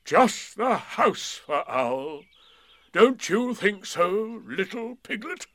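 A man voicing a kind, earnest young boy in a storybook reading, gentle and a little hesitant. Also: high-pitched, sing-song, slow, dry recording, pitched above the performer's own voice, heavily acted. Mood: cheerful.

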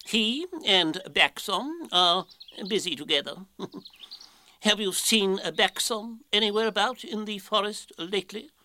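A pompous storybook owl, speaking very slowly and ponderously. He hums and hesitates as he bluffs at being wise, with his pitch raised above a normal speaking voice. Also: sharp tone, slight background noise, heavily acted. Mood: self-important. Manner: hesitant, drawn-out, ponderous delivery with ums and hums. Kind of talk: children's storybook character reading.

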